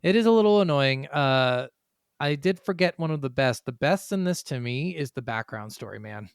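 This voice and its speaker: A man with a clear, smooth voice at an ordinary middle pitch hosts a casual gaming podcast. He talks bluntly and swears freely, and the recording is dry with no room sound. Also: lively intonation, normal speed, no echo. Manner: blunt, profane. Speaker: podcast host. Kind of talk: casual chat reviewing a video game.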